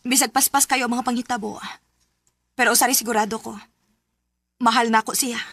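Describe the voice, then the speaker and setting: A woman's voice: fairly high pitch, steady tone, fairly quick, a bit bright. An actress playing a lovestruck young single mother with a secret in an emotional radio drama, speaking with a Cebuano accent. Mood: hesitant, tender.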